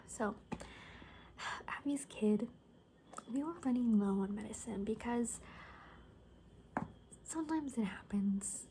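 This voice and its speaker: A woman playing a gossipy, rambling zombie apocalypse survivor in a soft-spoken, whispery ASMR roleplay, talking hesitantly and conspiratorially. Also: on the high side, a little hiss or hum. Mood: rambling, distracted.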